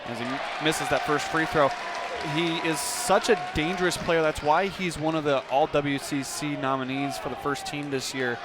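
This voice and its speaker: A man's voice: a little high, steady tone, moderate pace. A man working as a radio color commentator, analysing basketball in a conversational, explanatory way. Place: indoor basketball arena. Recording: very loud crowd and arena noise. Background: loud crowd, bouncing ball.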